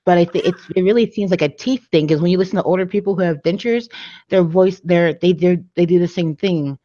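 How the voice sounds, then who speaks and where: Woman, lowish voice, casual rambling chat, lots of filler words, dull lo-fi sound. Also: brisk, dark tone, dry recording. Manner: rambling, heavy use of "like" and "you know". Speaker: podcast co-host. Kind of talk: casual chat about audiobooks.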